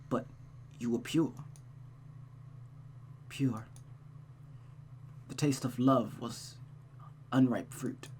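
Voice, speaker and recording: A reader voicing an anguished, brooding male lover from a visual novel in a slow, hushed, halting delivery, over a noisy recording. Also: mid-range voice, lightly acted.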